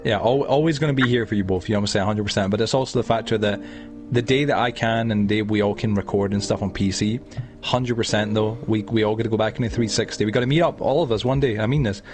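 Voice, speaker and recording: Scottish guy hosting a podcast, low voice, rattles on quick with music behind. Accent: Scottish, Edinburgh. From Scotland. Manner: rambling, many restarts and fillers. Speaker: podcast host. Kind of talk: casual podcast chat.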